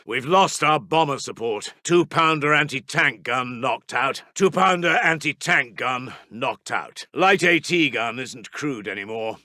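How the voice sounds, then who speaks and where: A man's voice: mid-range voice, pushed and bright, no room sound, clean studio sound. A man playing a grim British Second World War army intelligence officer in a strategy game, reporting in a clipped, urgent military manner with a British accent. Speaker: game voice actor.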